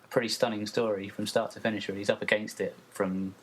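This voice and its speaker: Man, UK podcast host, lowish voice, easygoing, encouraging, casual interview. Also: flat delivery, quick pace. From United Kingdom. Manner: easygoing, encouraging, laughs along.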